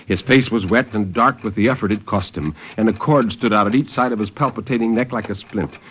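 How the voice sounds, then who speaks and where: A man giving a dramatic, deliberate and intense reading of suspense fiction in 1950s radio style. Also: fairly low pitch. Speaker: story narrator. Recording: muffled, noisy 1950s radio recording.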